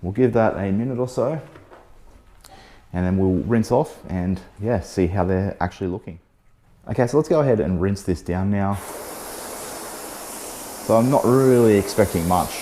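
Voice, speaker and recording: A man hosting a car detailing vlog, talking casually about a product test while losing his voice, a little hoarse, with plenty of "um" and "so yeah". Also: fairly low pitch, normal speed, pauses often. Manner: relaxed, chatty, frequent "um" and "so yeah". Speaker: car detailing channel host. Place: outdoors beside a test panel.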